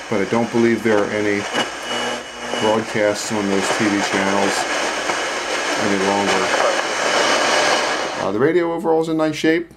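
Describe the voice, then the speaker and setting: A man giving an informal product demonstration as a presenter. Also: mid-range voice. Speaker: presenter demonstrating vintage radio for sale. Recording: clearly noisy, room ambience.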